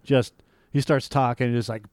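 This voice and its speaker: A man's voice: mid-range voice, fairly even intonation, normal speed, darkish tone, clear, resonant tone, no echo, studio quality. A man ranting as co-host of a casual movie review show, blunt, scornful, profane and emphatic. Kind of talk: casual chat, opinionated ranting.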